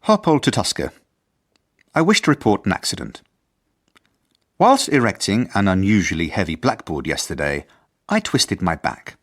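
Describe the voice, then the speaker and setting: A man's voice: on the low side, sing-song, moderate pace, pauses often, fairly bright tone. A man playing an earnest, formal, mild-mannered head teacher, narrating letters and journal entries in a radio drama. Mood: formal persistence.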